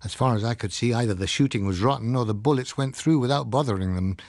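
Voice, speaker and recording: A man's voice: medium pitch, quick pace, a little mellow, no room sound, lightly acted. A man voicing a modest, practical male colleague in an audiobook, tentative and matter-of-fact. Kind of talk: dramatised audiobook reading.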